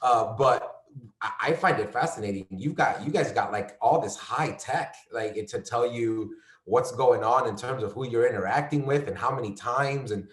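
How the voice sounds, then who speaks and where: A man's voice: medium pitch, normal speed. A man from New York chatting casually as an actor guest, rambling with lots of fillers and restarts. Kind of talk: casual conversation. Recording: echoey room.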